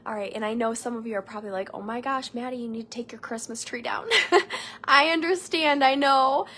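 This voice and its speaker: A woman's voice: fairly high pitch, brisk, pushed and bright. Chatty woman with a stuffy cold. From Michigan, United States. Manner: chatty, rambling, friendly asides to viewers. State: nasal from a cold. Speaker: beauty consultant doing a live makeup tutorial. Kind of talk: casual live-stream chat. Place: home room.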